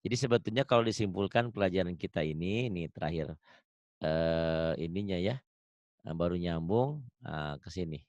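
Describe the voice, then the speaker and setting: A man's voice: deep voice, moderate pace, darkish tone, dry recording, lo-fi sound. A man teaching Quran recitation online, patiently drilling pronunciation and laughing often. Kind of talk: online tajwid lesson.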